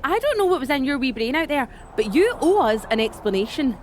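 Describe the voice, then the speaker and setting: A sardonic witch who leads a coven in a wrestling game. Her fairly high voice moves widely as she scolds. There is faint noise behind her. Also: big pitch swings, fairly quick. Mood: scornful. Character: scornful, exasperated leader of a witch coven of wrestlers. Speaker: voice actor in a video game cutscene. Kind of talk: video game acting.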